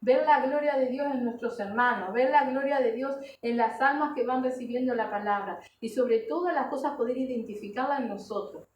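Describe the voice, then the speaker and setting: A woman delivers a sermon in a high-pitched voice. She exhorts earnestly, putting questions to her listeners and checking in with short tags. The room is very echoey, and she sounds some way from the microphone. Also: moderate pace, few pauses, a little mellow. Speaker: preacher. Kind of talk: sermon to a congregation. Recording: echoey room, distant mic, background noise.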